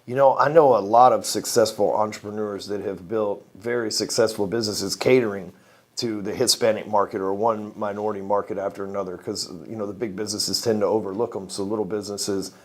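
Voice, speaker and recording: A man's voice: fairly low pitch, fairly even intonation, a little fast. A man hosting an interview in a studio, talking casually, affirming his guest and asking leading questions. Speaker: interview host. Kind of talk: conversational interviewing.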